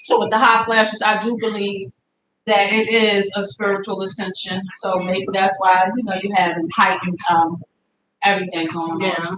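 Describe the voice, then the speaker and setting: A woman joining a live stream, explaining things in a calm, casual way. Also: mid-range voice, normal speed, a crowd in the background. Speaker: guest co-host. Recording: echoey room, noisy background.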